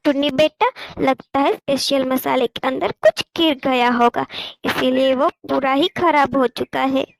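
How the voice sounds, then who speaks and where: A woman's voice: high voice, a little slow, vocal fry, no room sound, low-quality recording. A woman voicing an earnest, motherly little bird in a cartoon. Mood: worried. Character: hardworking, gentle mother sparrow, worried and earnest.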